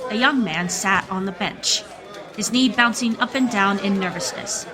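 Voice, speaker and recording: A woman narrating a scripted radio drama in a storytelling style. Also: medium pitch. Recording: music and crowd sounds behind. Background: music and crowd bed.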